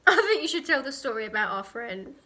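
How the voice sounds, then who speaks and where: A young British woman chatting casually and telling an anecdote in a giggly, animated way. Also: a little high, a little fast. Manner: giggly, animated storytelling with acted quotes.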